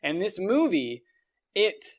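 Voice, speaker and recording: A man's voice: high-pitched, big pitch swings, slow pace. A man co-hosting a podcast, chatting casually and giving emphatic, rambling opinions peppered with casual swearing. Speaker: podcast host. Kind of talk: animated casual opinion chat.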